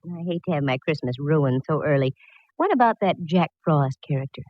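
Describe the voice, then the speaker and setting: An actress playing a wry, quick-witted female private eye in a 1940s radio detective drama, breezy and wisecracking. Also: low-pitched, expressive, no room sound. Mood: brisk, curious. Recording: noisy, dull vintage radio recording.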